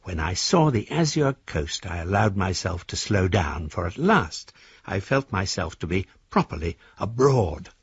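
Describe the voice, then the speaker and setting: Casting: pompous older gentleman for radio drama, husky and animated, reading flowery letters; dry, slightly dull sound. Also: deep voice, unhurried, no echo, exaggerated voice. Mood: expansive, self-satisfied. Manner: pompous, flowery, ornate phrasing. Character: courtly, florid older gentleman, newly rich and travelling.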